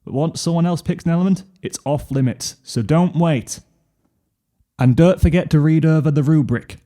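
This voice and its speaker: A man's voice: fairly high pitch, normal speed, warm tone, voice pushed up, close to an everyday voice. A man voicing a businesslike school chemistry teacher in a story, giving the class matter-of-fact instructions. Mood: businesslike announcing. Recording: piano music under the voice.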